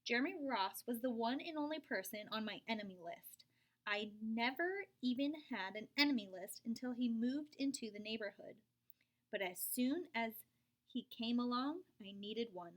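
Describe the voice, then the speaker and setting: A woman reads a children's picture book aloud in a fairly high voice. Her telling is expressive, slipping into dramatic whispers and leaping up in pitch for emphasis. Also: normal speed. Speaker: storyteller reading aloud.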